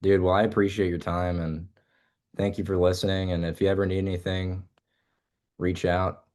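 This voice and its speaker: A man's voice: low voice, little pitch movement, normal speed, a little mellow. A young man, a musician guest, chatting casually in an interview and rambling with many fillers and restarts.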